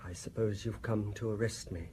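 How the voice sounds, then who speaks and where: A grieving, timid gentleman lawyer played by a male actor in a radio drama. His speech is formal and hesitant. Faint ambient noise sits behind the voice. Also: fairly low pitch, fairly even intonation, normal speed, lightly acted. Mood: subdued, resigned.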